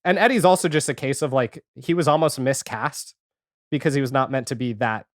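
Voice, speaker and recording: A man in a casual, animated pop-culture chat as a podcast guest, talking informally and acting out imagined remarks. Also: mid-range voice, brisk, no room sound, clean studio sound.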